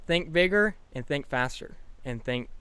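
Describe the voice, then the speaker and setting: A man's voice: on the high side, animated, measured pace, sharp tone, clean tone, no echo. A teenage boy with a light Southern US accent, chatting casually as a podcast guest, rambling and laughing often. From South Carolina. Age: teens.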